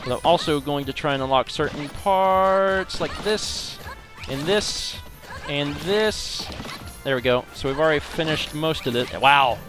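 A man's voice: on the high side, a little slow. A young man casually commenting on a co-op game as a let's play host, upbeat and chatty, thinking aloud while he plays. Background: game music and sound effects.

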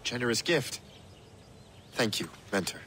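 Casting: brash young Irish assassin sailor, defiant and cheeky, game cutscene, noisy ambience behind. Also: male voice, mid-range voice, animated, unhurried, bright, forceful tone. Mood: easygoing. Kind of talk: video game cutscene acting. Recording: clear sea and ambience noise behind.

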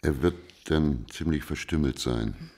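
An actor playing a blunt, unsentimental middle-aged private detective in a radio drama, brusque and matter-of-fact. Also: male voice, very deep voice, unhurried, darkish tone, full character voice. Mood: curt.

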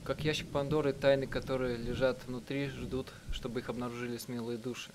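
A man reading out a live translation of a visual novel in a halting, self-correcting way. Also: mid-range voice, level tone, background noise. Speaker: let's player reading out translations. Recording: music behind the voice. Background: game music.

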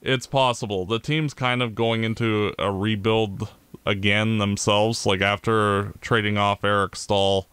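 Canadian man, podcast co-host, low smooth voice, blunt opinions, halting restarts. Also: fairly even intonation, a bit bright, no room sound. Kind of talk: casual sports chat.

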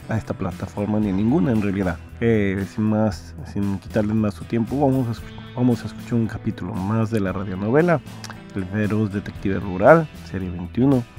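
Man, low voice, hesitant casual intro over guitar music. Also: a little mellow. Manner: hesitant, apologetic. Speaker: podcast uploader.

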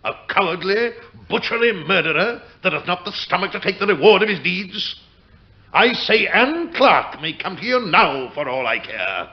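A man's voice: high voice, very animated, normal speed. A male actor playing a stern, scornful Lord Chief Justice of old England in a period radio drama, with a British accent and imperious, archaic diction. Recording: dull vintage radio recording.

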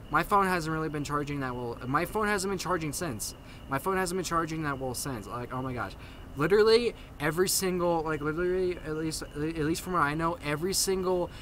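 A young man talks casually to the camera in a bright, pushed voice at a middling pitch. He stutters, circling back to repeat whole phrases before moving on. The sound is an outdoor camera recording with faint noise behind him. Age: young adult. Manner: halting, repetitive, restarting phrases over and over. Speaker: video maker giving a channel update. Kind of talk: casual spoken vlog update to camera. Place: outdoors at night on a paved path.

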